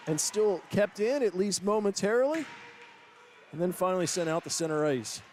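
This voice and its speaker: A man calling hockey play-by-play, with quick, excited calls that rise to shouts. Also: sits high, slight background noise. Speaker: play-by-play announcer. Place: ice rink broadcast booth. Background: loud arena music.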